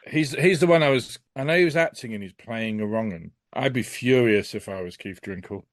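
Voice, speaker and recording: A man's voice: medium pitch, a little fast, no echo, studio quality. A British man as a podcast guest, chatting casually in a blunt, emphatic, sweary way. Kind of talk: casual chat.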